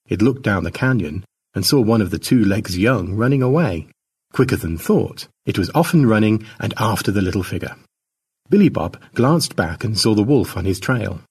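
A man with a low, husky voice reads fiction aloud like a practised storyteller. His intonation rises and falls a great deal, giving the narration a dramatic shape. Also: a little mellow. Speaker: author narrating his own short story.

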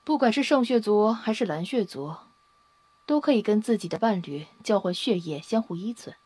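Woman acting a mellow, solemn half-blood beauty. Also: mid-range voice, expressive, husky, no room sound. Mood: somber, resigned. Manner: solemn, measured lecturing. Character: dark-haired half-blood alien beauty, solemn, wistful expounder. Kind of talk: Chinese audio drama acting. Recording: music under the voice. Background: background music.

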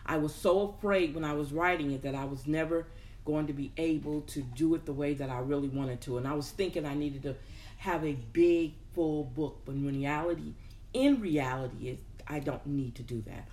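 The speaker is a host talking casually and motivationally to followers. The voice sits at a medium pitch. The delivery is informal, thought out aloud, with words repeated to drive a point home. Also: normal speed, darkish tone. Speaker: host of a personal development show. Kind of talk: casual motivational talk to an audience.

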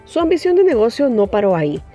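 A woman hosting a business podcast, reading a scripted introduction and asking interview questions in a polished, measured way. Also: fairly high pitch, very animated, over music. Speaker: podcast host.

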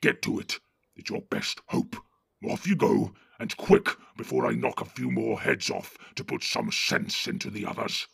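A man voicing a brutal, boastful orc warlord in an audiobook, barking contemptuous orders in a gravelly voice. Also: fairly low pitch, wildly swooping pitch, a little slow, fairly bright tone, dry recording, voice pushed down, full character voice. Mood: contemptuous commanding. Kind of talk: audiobook reading.

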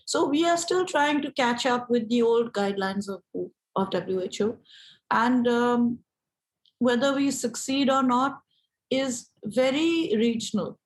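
A woman with an Indian English accent and a fairly high voice. She talks thoughtfully, explaining her views as an activist in an interview. Her delivery is reflective and measured. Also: rough recording.

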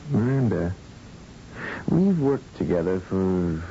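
Earnest, low-voiced male scientist, old radio. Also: big pitch swings, slow, mellow, heavily acted. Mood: grave, secretive. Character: earnest, secretive male research scientist on a colonized planet. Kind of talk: old-time radio drama acting. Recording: dull, noisy old radio recording.

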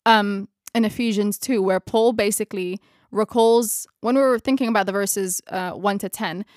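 A young woman with a Tagalog mother tongue leads a bible study in English, her voice sitting at a middling pitch. She speaks reflectively and pauses to search for the right word. The recording is close and dry, with no room sound. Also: no echo. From Philippines. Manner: reflective, searching for words, laughs at herself. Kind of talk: closing a bible study discussion.

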